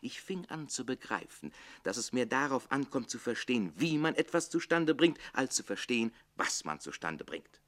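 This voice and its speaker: A man playing a witty, self-pitying barber-servant in a radio drama, declaiming theatrically between exuberance and hushed lament. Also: mid-range voice, big pitch swings, normal speed. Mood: boastful, animated.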